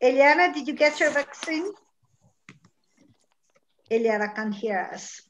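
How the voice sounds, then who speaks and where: A woman's voice: high voice, lively intonation, a little slow, a little hiss or hum. A woman in her fifties leading a casual language class, prompting people and echoing their replies. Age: 50s. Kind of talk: casual chat with students. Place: online video call. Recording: dull lo-fi online call.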